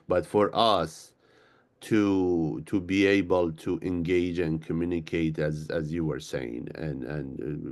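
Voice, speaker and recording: Very deep-voiced, halting male guest. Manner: earnest, halting, repeats words. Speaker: guest, executive director. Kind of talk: interview answers.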